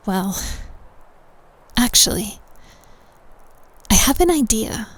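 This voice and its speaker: A woman's voice: mid-range voice, darkish tone. A woman playing a possessive, lovesick witch in a roleplay audio drama, with a cooing, domineering, menacing delivery.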